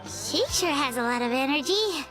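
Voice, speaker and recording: A woman's voice: high-pitched, lively intonation, normal speed, over music. A woman voicing a tiny, chatty, childlike fairy companion in a cartoonish game style. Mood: curious. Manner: speaks of herself in third person.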